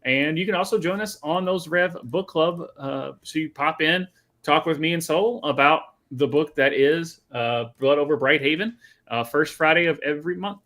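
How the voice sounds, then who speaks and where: A man co-hosts a casual sports show, his voice bright and forward with a slightly high pitch. He jokes and slips into animated impressions as he talks. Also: normal speed. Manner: joking, animated, acts out quotes. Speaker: podcast co-host.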